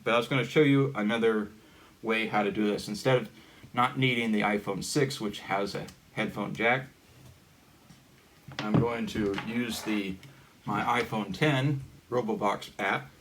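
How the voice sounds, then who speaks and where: Low-voiced man explaining, halting and informal. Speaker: hobbyist demonstrator. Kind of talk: casual hands-on gadget demonstration. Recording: steady background noise.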